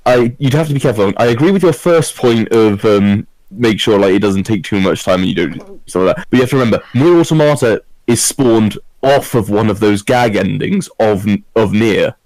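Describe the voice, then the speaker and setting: A man with a fairly low voice takes part in a casual group discussion. The recording is dry, and he speaks informally as a podcast panellist. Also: animated, normal speed, hardly any pauses, a little mellow, dry recording, low-quality recording.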